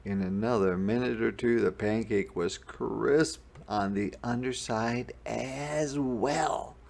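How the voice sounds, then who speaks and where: A man narrating a children's picture book in a deliberate, expressive storytelling manner. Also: medium pitch, gravelly. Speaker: storybook reader. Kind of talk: scripted children's read-aloud narration.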